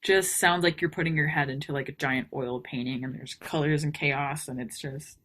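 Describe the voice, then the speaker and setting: A young woman co-hosts a casual podcast with a slight Texas accent and a bright tone. Her voice sits a little low. She chats easily, giggling often and filling pauses with ums. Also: steady tone. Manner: chatty, giggly, many ums. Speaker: podcast co-host.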